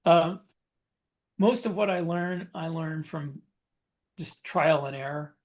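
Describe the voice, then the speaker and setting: A man with a slightly high voice talks casually as a podcast co-host. He rambles through personal anecdotes, often halting and correcting himself as he goes.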